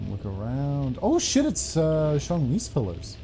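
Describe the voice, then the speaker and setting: A man's voice: fairly high pitch, huge pitch swings, a little slow, noisy background. A man giving casual gameplay commentary as a streamer, offhand and playful, with some swearing. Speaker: game streamer. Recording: game music behind the voice.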